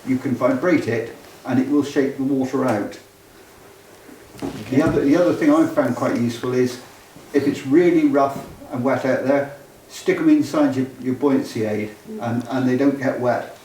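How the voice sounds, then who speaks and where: Mellow-voiced man in an echoey room. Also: medium pitch, normal speed. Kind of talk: informal practical explanation. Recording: echoey room, distant mic, faint noise.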